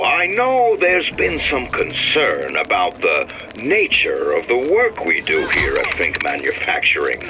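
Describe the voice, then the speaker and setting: Slick pompous industrialist giving an old-timey speech, slow and really swoopy, noisy audio log. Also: male voice, medium pitch, exaggerated voice. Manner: grandiose, smooth-talking salesman oratory. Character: ambitious scheming industrialist addressing colleagues and recording private thoughts. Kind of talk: theatrical old-time recorded speech.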